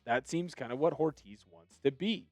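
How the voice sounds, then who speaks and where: A young man hosting a sports news commentary, talking with firm opinions in a fairly high voice. His delivery swings into animated excitement at times. The sound is dry and close, with no room echo. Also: moderate pace, soft background music. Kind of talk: solo sports commentary video.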